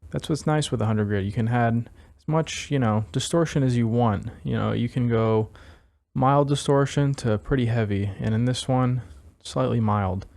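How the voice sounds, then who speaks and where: A man's voice: medium pitch, moderate pace, vocal fry, a little mellow. A man casually walking through audio gear as a reviewer, laid-back and self-deprecating in manner. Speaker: synthesizer module reviewer. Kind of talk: casual gear demo talk.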